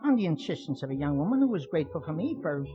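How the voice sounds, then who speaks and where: A man's voice: fairly high pitch, sing-song, very fast, dark tone, faint music behind. An actor voicing a slangy male Broadway informer who stammers and repeats himself in a radio crime drama. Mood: boastful, nervous. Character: slangy, fast-talking male Broadway informer, touchy and ingratiating. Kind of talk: 1950s radio crime acting. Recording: muffled old radio recording.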